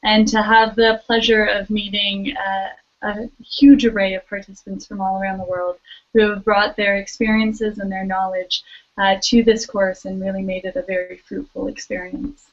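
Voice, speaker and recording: Woman program head, fluent, even and unvaried delivery. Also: mid-range voice, moderate pace. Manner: fluent, gracious. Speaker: lab head. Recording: slightly muffled recording.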